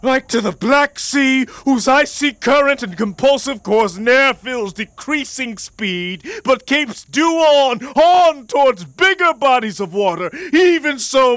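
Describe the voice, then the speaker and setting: An actor playing a jealous, vengeful military commander and tormented husband, declaiming classical verse grandly and with passion in a radio play. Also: male voice, very high-pitched, vocal fry, bright, forceful tone, dry recording, heavily acted.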